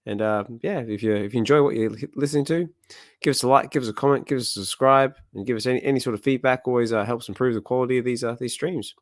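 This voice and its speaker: Slightly hoarse, chatty male streamer. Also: medium pitch, fast talker, no room sound, studio quality. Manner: chatty, rambling with many fillers. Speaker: comic reading streamer. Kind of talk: casual chat.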